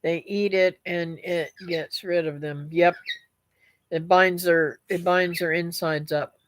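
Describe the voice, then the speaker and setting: A woman's voice: fairly low pitch, steady tone, takes it slowly, slight background noise. A middle-aged woman, chatting casually with her live-stream viewers in a rambling way, her voice a little stuffy and nasal. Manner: chatty, rambling, talks to viewers as "guys" and "y'all". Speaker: homestead vlogger reading live comments.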